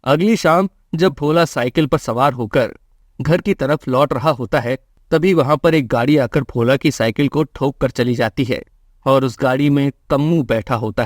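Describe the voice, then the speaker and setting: A man narrates a scripted moral story for children. His voice has an average male pitch, and the recording is dry, with hardly any room sound. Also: moderate pace, no room sound.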